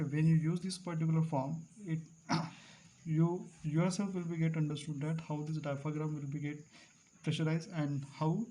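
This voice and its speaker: A male teacher explaining a device to students, repeating key terms slowly and clearing his throat now and then. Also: on the high side, dark tone, slight background noise, poor audio. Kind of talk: classroom lecture at a board.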